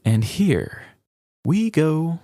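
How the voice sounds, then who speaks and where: A man's voice: on the high side, big pitch swings, extremely slow, dark tone, dry recording. A man co-hosting a podcast, moving between casual chat and scripted storytelling. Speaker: podcast co-host.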